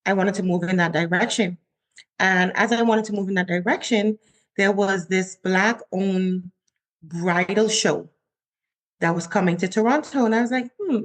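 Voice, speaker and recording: A woman in her forties from the Bahamas, a chef telling her story in a casual interview and acting out her own quotes. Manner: animated, acts out her own quotes with rising pitch. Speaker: chef, guest.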